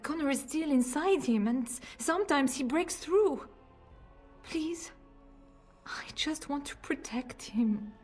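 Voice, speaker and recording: A woman's voice: high-pitched, animated, slow, darkish tone, faint music behind. A woman playing an anguished, protective noble mother in a fantasy video game, pleading and emotional.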